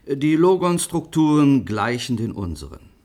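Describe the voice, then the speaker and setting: Insistent, dismissive professor. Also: male voice, mid-range voice, sing-song, a little slow, darkish tone. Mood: emphatic lecturing. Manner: emphatic, lecturing. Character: insistent, dismissive male scientist professor in a future. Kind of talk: German radio drama acting.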